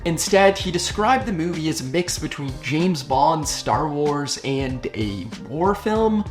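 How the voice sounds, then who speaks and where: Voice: mid-range voice, lively intonation, measured pace. A host narrating a scripted video essay in a casual, conversational way. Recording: music under the voice. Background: background music.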